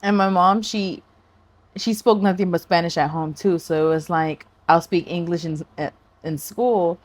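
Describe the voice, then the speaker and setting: Low-voiced woman, joking podcast chat. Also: fairly even intonation, unhurried, no room sound. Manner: joking, laughing, mixes Spanish into her talk. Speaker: podcast co-host. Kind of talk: casual chat.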